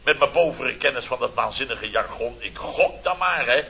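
Blustering, bright-voiced sarcastic boss in radio comedy. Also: male voice, medium pitch, animated, moderate pace, creaky. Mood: indignant, mocking. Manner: theatrical, exclamatory, sarcastic storytelling. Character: blustering, sarcastic middle-aged contractor boss telling his mishaps. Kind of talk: radio comedy serial acting. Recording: dull, noisy old radio recording.

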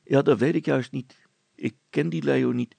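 A man's voice: medium pitch, fairly even intonation, normal speed, gravelly, darkish tone, dry recording. A man voicing a plain-spoken, worried and sceptical amateur inventor in a storybook, talking in a colloquial, grumbling way.

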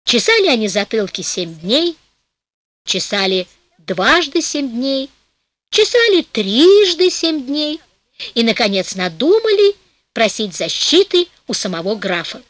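A woman narrating a fairy tale in a lively storytelling manner for an audiobook, slowing down for rhymed passages. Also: fairly high pitch.

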